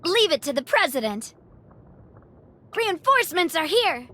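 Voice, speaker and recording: Genteel young female game heroine, very high pitch, unhurried pace, bubbly, playful, confident. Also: heavily acted. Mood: spirited, battle-ready. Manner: bubbly, expressive, warm and playful. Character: cheerful, genteel young woman, confident leader with a sweet tooth. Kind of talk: video game character voice lines.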